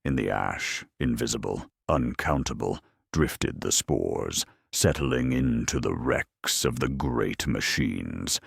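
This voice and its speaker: Man, rock-bottom pitch, gravelly growl, unhurried pace, grave dramatic war-story narration. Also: no room sound, studio quality.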